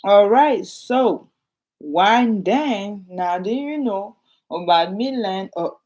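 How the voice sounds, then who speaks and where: A woman's voice: mid-range voice, expressive, slow pace, a little mellow. A woman with a French accent, a realtor chatting casually to her viewers while reading from her notes and adding asides.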